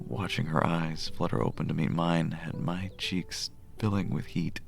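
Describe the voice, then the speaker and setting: A man acting a very deep, gravelly gentle cleric. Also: level tone, moderate pace. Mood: shy, tender. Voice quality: deep, gravelly, soft murmur. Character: gentle, smitten male cleric with healing magic. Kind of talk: romantic audio drama, first-person narration and dialogue. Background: music.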